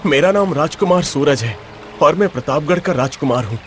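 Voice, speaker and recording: An earnest young storybook prince, acted by a man, speaks in a fairly high male voice. He is formal, emotive and tinged with sorrow, with faint music behind him. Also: lively intonation, fairly quick, close to an everyday voice. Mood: resolute. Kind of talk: dramatized cartoon story dialogue.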